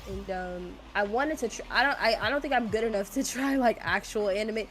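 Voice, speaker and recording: A woman's voice: mid-range voice, fairly quick, fairly bright tone, soft background music. A woman streaming a game and chatting casually, rambling and hesitant, laughing often and sounding a bit congested. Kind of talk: casual gaming stream chat.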